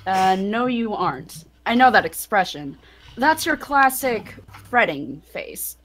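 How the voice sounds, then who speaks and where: Woman playing Lyle, an animated, probing young friend. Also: medium pitch, slow pace. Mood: teasing insistent. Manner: probing, insistent. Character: concerned, bluntly teasing young friend Lyle. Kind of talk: amateur visual novel voice acting.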